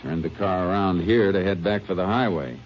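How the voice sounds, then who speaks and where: An actor playing a deep-voiced Texas lawman. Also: moderate pace, a little hiss or hum, lightly acted. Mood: calm. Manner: calm, matter-of-fact, commanding. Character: steady Texas Ranger lawman, man. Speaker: lead detective and narrator. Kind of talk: 1950s radio drama acting and narration. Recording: muffled 1950s radio broadcast with music.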